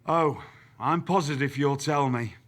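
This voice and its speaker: A man's voice: medium pitch, unhurried, fairly bright tone, natural voice. A man playing a shrewd wrestling general manager in a video game, wry, formal and showmanlike. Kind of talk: theatrical video game cutscene acting.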